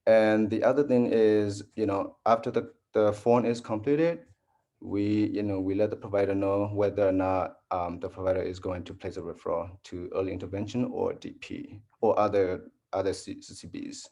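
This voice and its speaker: A man from Burma whose first language is Po Karen, speaking as a dental health navigator on a panel, halting with many restarts. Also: on the low side, steady tone, dark tone. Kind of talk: panel discussion.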